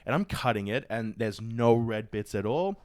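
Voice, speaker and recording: A man's voice: fairly low pitch, lively intonation, normal speed, darkish tone, no room sound. A man hosting a comedy podcast, telling casual stories with slangy swearing and acted-out quotes. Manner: sweary, slangy, acts out quotes in mock outrage. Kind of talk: casual chat, comic storytelling.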